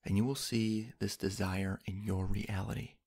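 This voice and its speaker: A man softly narrating a sleep hypnosis meditation in a soothing, hushed, breathy voice with long, unhurried pauses. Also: on the low side, steady tone.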